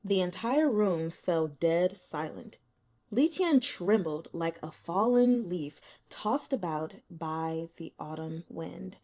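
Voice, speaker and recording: A woman reading a novel aloud as an audiobook narrator, with a dramatic, deliberate delivery and lingering pauses. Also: lowish voice, animated, a little mellow. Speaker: audiobook reader. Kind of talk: expressive read-aloud of a novel.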